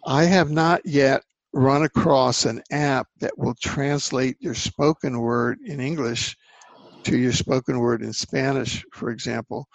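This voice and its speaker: A man talking earnestly in a casual conversation, halting and rambling as he explains things. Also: medium pitch, steady tone, creaky, dry recording. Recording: dull lo-fi dry sound.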